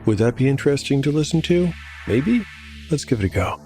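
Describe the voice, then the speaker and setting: A man narrating a solo fantasy role-playing show as game master, in a measured, explanatory scripted style. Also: mid-range voice, expressive, darkish tone. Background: music.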